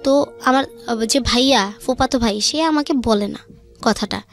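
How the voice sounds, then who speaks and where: A young woman with a high voice and a Bangladeshi accent tells a personal story as a guest. Her speech is informal, with music playing softly underneath. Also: moderate pace. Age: young adult.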